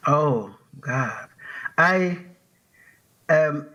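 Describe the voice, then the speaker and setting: A man's voice: on the high side, lively intonation, drawn-out words, bright, forceful tone, highs cut off. A man from Liberia, a writer on a panel, talking casually on stage in a hesitant way, with many restarts and small laughs. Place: stage of a public talk venue.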